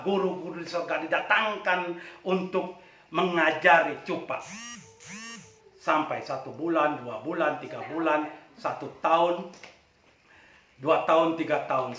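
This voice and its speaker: A man's voice: fairly high pitch, sharp tone. A man telling a folk tale in a dramatic, playful way. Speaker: storyteller. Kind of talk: animated oral folk storytelling. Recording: echoey room, distant from mic.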